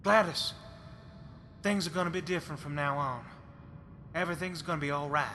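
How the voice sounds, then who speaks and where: A man playing an earnest husband in a radio drama, speaking softly and reassuringly. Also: a little high, a little fast. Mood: tender. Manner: soft and reassuring. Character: earnest husband making amends. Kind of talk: fan radio drama acting. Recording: music playing under the voice.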